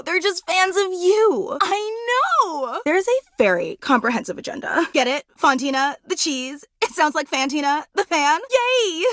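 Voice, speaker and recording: Game role: starstruck female superfan, high and very animated, breathlessly gushing, flustered, apologetic. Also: no echo, clean studio sound, full character voice. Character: giddy, starstruck female electric fan, adoring and apologetic superfan. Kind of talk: video game character acting.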